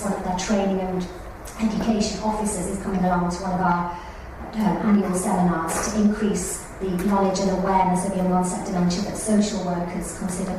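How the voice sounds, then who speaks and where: A woman running a support group meeting, giving announcements and introducing a speaker in a measured way with frequent ums. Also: lowish voice. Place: meeting room, recorded from far off. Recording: echoey room, far mic, noisy.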